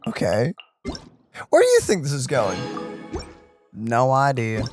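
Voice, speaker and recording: A man's voice: mid-range voice, huge pitch swings, slow pace, a little mellow, soft background music. A man doing casual let's play commentary, informally speculating and reacting aloud to a game. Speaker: gaming let's player.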